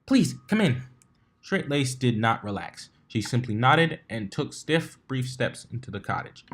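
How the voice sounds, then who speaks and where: A man's voice: mid-range voice, lively intonation, normal speed, a bit bright. A man reading a story aloud as narrator, sometimes stumbling and rereading words. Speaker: fan fiction reader.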